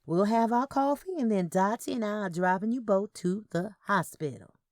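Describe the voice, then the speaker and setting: A woman's voice: medium pitch, normal speed, darkish tone, no echo, studio quality, voice pushed up, lightly acted. A woman voicing a firm, no-nonsense woman with an insistent manner in an audio story. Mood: concerned, insistent. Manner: insistent, bossy. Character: firm, no-nonsense Southern woman looking after elderly twins. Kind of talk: audio fiction character dialogue.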